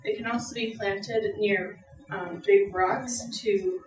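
A woman's voice: mid-range voice, big pitch swings, takes it slowly. A young woman stands before a lecture room, reading her presentation in an earnest, careful way with the odd "um". The sound is roomy and picked up from the back of the room. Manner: earnest, reads from notes with fillers. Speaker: student presenter. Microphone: far camera. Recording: echoey room from far off.

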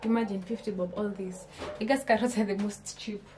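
A woman's voice: medium pitch, steady tone, brisk, noisy background. A young woman with a Kenyan accent chatting to her viewers in a casual haul vlog, asking them questions and often restarting her phrases, recorded in a small home kitchen on a camera at arm's length. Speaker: vlogger.

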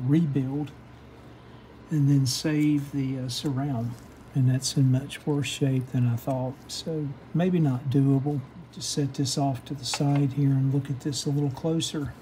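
A man's voice: medium pitch, warm tone, a little hiss or hum, poor audio. A man giving an unscripted, hands-on workshop demonstration, explaining step by step in a methodical, hesitant way. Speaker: host of a radio repair how-to channel. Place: indoor home workshop bench.

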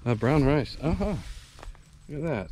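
A man's voice: on the high side, animated, normal speed, dark tone, slight background noise, low-quality recording. A man casually narrating a vlog outdoors on a rural farm, in an easygoing, joking way. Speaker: vlogger. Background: faint rural outdoor ambience.

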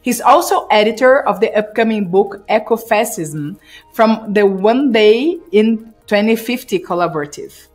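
A woman's voice: medium pitch, lively intonation, a little slow, soft background music. A woman in her forties hosting a podcast interview, speaking eagerly with slightly unusual phrasing into a studio mic with a pop filter. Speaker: podcast host. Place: home studio, indoors. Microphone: studio mic with pop filter and headphones.

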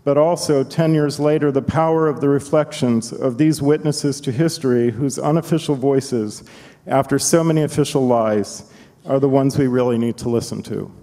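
A man introducing a panel in a measured, eloquent, formal manner. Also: mid-range voice, warm tone. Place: conference hall. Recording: echoey hall.

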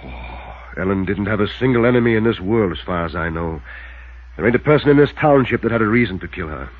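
An actor playing an earnest, defensive rural American farmer in a 1950s radio drama, plain-spoken and folksy with a husky voice. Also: male voice, fairly low pitch, big pitch swings, fast talker. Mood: earnest, sorrowful. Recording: thin, tinny old radio recording.

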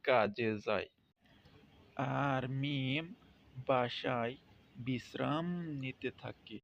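A man's voice: mid-range voice, steady tone, drawn-out words, creaky, fairly bright tone, lo-fi sound, slight background noise. A man narrating a cartoon fairy tale as a storyteller, in a scripted style.

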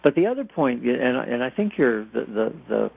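Gravelly older man on a phone line. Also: mid-range voice, very animated, normal speed. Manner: plain-spoken explainer with everyday comparisons. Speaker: expert guest, former nuclear industry executive. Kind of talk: explanatory radio interview by phone. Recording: narrow, phone-like radio line.

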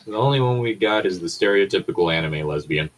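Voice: low-pitched, expressive, brisk, low-quality recording. A person chatting casually and bantering with friends as co-host of a fan fiction reading.

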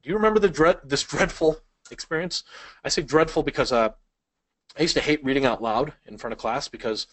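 A man's voice: fairly high pitch, a little fast, a bit bright. Casual male speed-reading instructor. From Chicago, United States. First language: Polish. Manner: casual, conversational teaching with frequent fillers. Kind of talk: online video lesson.

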